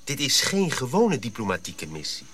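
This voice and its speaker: An actor playing a dutiful, questioning Dutch colonial officer, earnest and precise, in a radio drama. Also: male voice, mid-range voice, huge pitch swings, moderate pace, crowd noise. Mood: concerned.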